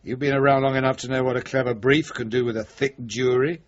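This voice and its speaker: A British actor playing a cornered, wily, middle-aged chief inspector in a radio drama, blunt, sardonic and probing. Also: male voice, mid-range voice, steady tone, fast, natural voice. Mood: cynical defiance. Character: cornered, wily veteran police chief inspector, defiant and cynical. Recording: dull, muffled old radio recording.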